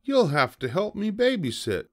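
A man's voice: high voice, sing-song, moderate pace, voice pushed up. A man voicing an earnest young boy, an exasperated big brother in a storybook. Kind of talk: storybook character voice.

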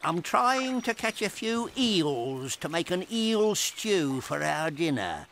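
An actor playing a gloomy, lanky marsh creature, a solemn pessimist grumbling in a rustic manner for an audio drama. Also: male voice, sits high, big pitch swings, slow, fairly bright tone, a little hiss or hum, heavily acted. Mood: gloomy, resigned.

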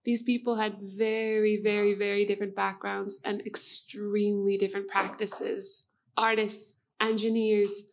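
A young woman opens a panel on a conference stage, holding a handheld mic. Her pitch is mid-range and very even, and her sentences are earnest and flowing. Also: unhurried, gravelly, few pauses. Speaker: moderator. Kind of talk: opening remarks.

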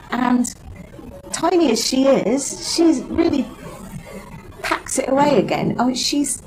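A woman, chatting hesitantly with ums in a small cabin. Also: mid-range voice. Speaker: interviewee, host's wife. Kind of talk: casual chat. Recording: noisy, faulty mic.

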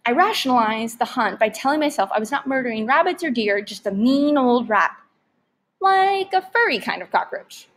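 A woman narrating a story aloud in an expressive style with deliberate, emphatic phrasing. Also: on the high side, slight background noise.

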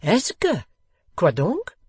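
A reader voicing a patient, courteous foreign gentleman with a French accent who speaks halting English. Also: sits high, huge pitch swings, husky, dry recording, pitched above the performer's own voice, full character voice. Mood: puzzled. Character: polite, puzzled foreign gentleman dinner guest.

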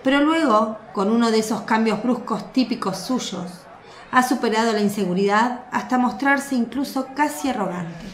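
A woman narrating a radio drama with a clear storytelling delivery. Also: on the high side, normal speed. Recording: echoey room.

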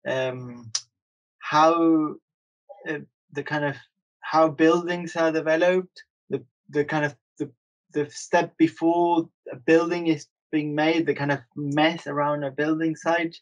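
A man's voice: fairly high pitch, steady tone, slow, highs cut off. A man in his thirties from Argentina, an artist talking casually and hesitantly in an interview, with many fillers and restarts.

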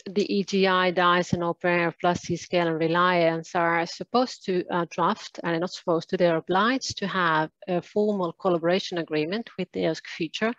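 A woman giving a conference keynote over a video call, talking in a halting way with many fillers and restarts. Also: fairly low pitch, no echo, muffled recording. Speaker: keynote speaker. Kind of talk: conference presentation.

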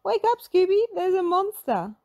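A woman's voice: extremely high pitch, very animated, normal speed, a little mellow, pitched above the performer's own voice, heavily acted. A woman voicing a goofy, lazy, easily scared young man with a slacker drawl in a children's storybook. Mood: panicked.

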